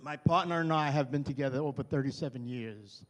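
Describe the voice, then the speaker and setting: An older man with a Brooklyn accent, loud and chatty, introducing himself and his partner at a community meeting. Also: mid-range voice, brisk. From New York. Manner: loud, chatty, self-deprecating. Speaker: new neighborhood representative. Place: chapel hall. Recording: reverberant hall.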